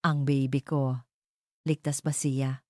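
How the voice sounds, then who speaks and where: A woman's voice: very deep voice, little pitch movement, slow, dark tone, no room sound, clean studio sound. A woman voicing a frightened pregnant young woman in pain, strained and pleading, in an audio drama. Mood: fearful.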